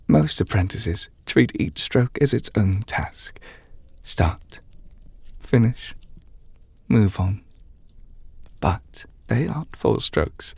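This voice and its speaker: A very breathy, half-whispered male voice with a creaky edge, recorded close and dry. A man plays a gentle magic teacher in an ASMR roleplay. He speaks in measured, slightly formal phrases, patient and reassuring toward his apprentice. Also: fairly low pitch, no echo, exaggerated voice. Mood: patient encouragement. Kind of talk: ASMR roleplay, softly spoken to the listener. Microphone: close mic, dry.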